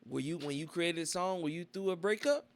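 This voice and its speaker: A man's voice: fairly high pitch, fairly quick, crowd noise. A male podcast host asking questions in a casual chat, rambling and often repeating filler phrases. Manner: rambling, repeats "you know what I'm saying". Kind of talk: casual interview.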